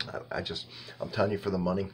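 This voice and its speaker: A man in his fifties giving a casual, halting tasting review to camera, rambling and thinking aloud in a small indoor room. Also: low voice, level tone, slight background noise. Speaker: wine reviewer and host.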